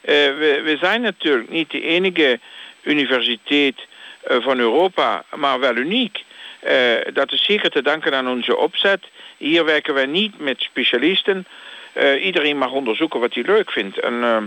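A man playing a pleased, rambling university rector, speaking haltingly and repeating himself in a mock news soundbite. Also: on the high side, lively intonation, pushed and bright. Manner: halting, repetitive.

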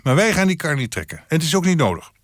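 A man's voice: a little high, big pitch swings, dry recording, studio quality. A man playing a pedantic, formal company chairman being interviewed in a satirical radio show. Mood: dismissive. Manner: formal, businesslike, measured. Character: earnest male corporate board chairman, pedantic, telling crude jokes.

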